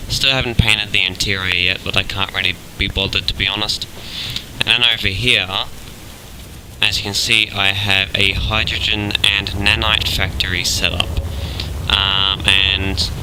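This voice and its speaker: A young man with a cold, talking through his game in a relaxed, halting way with many ums. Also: fairly low pitch, noisy background. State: has a cold. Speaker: gaming video host. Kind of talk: casual gameplay commentary. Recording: game sounds clearly behind.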